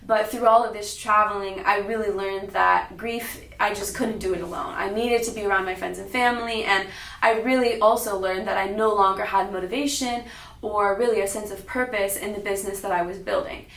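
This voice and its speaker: A woman's voice: medium pitch, steady tone, fairly quick, a bit bright. A young adult American woman telling her personal story as a public talk, recorded in a roomy space at some distance. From Sacramento, California, United States. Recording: roomy, echoing, distant mic.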